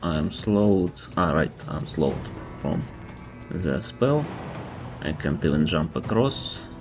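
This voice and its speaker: Low, slow Russian-accented male streamer. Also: animated, over music. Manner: halting, thoughtful, restarts mid-sentence. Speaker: game streamer. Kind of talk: casual gameplay commentary.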